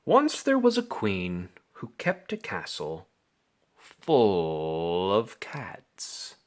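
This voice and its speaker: A man reads a bedtime story to children at a very slow pace. His pitch swings in a lively way, and he stretches words out theatrically. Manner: deliberate, theatrical phrasing with drawn-out words. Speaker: storybook reader.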